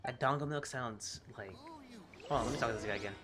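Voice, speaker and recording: A game streamer giving casual, excitable and playful commentary on a playthrough. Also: medium pitch, quick pace, heavy background noise.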